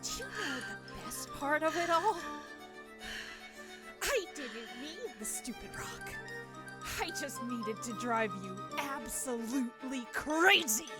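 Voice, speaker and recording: A woman's voice: high voice, big pitch swings, slow pace, over loud music, exaggerated voice. A woman playing a cunning, bitter traitor in an audio drama, mocking and theatrical in her delivery. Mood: gloating.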